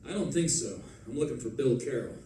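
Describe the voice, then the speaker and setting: An actor playing a determined, hardboiled detective in an old-time radio drama, with clipped, wisecracking tough talk. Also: male voice, medium pitch, animated, fairly quick, mellow, lightly acted. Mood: tough, demanding. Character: hardboiled, determined male detective, tender to his wife. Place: live stage performance, mic at some distance. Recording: roomy stage recording, distant, faint noise.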